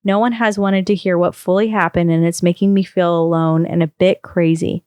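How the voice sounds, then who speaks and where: A woman reading a long first-person post aloud on a podcast, in a steady storytelling manner. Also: fairly low pitch, normal speed, smooth, no echo, studio quality.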